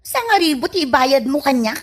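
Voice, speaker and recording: A woman's voice: sits high, very animated, moderate pace, fairly bright tone. A woman playing a nosy, skeptical moneylending shopkeeper auntie with an Ilocano Filipino accent in a comedy radio drama, incredulous and questioning. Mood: businesslike, skeptical.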